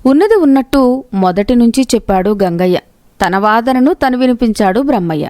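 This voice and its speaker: A woman's voice: on the high side, expressive, a little fast, warm tone, no echo, clean studio sound. A woman narrating a scripted moral story.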